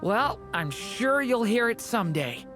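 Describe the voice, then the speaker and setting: A woman's voice: a little high, big pitch swings, a little slow, music in the background. A woman voicing an earnest young dragon boy in a video game, giving heroic battle cries.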